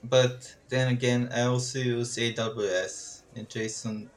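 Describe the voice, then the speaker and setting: A man hosts a relaxed podcast chat, mixing questions with dry, deadpan jokes. His voice sits at a middle pitch. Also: a little slow. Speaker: podcast host.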